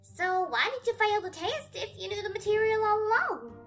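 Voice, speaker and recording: A woman's voice: extremely high pitch, big pitch swings, normal speed, a bit bright, heavily acted. A woman voicing an earnest, helpful and slightly bossy young schoolgirl pony in a cartoon fan audio story. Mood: stern. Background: music.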